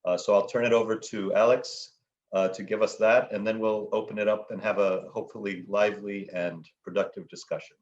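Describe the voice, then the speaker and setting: A man's voice: on the low side, level tone, brisk. A man moderating an online panel, introducing it formally over a dull-sounding video call.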